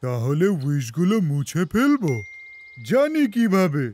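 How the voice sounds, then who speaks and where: An actor voicing an eager, impatient child with a sweet tooth in a children's cartoon, in an excitable, expressive manner. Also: medium pitch, measured pace, noisy background. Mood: puzzled, determined. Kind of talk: children's animated cartoon dubbing.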